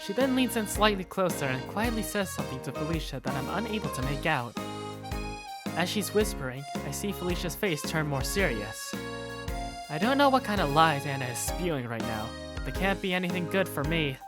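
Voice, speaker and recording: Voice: mid-range voice, big pitch swings, a little fast, loud music behind the voice, lightly acted. A performer voicing an awkward, easily flustered male student who narrates his school day in a hesitant, wry first-person style. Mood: wry, uneasy. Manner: hesitant, wry inner monologue. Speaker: narrator and main character of a visual novel. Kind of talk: first-person visual novel narration and dialogue.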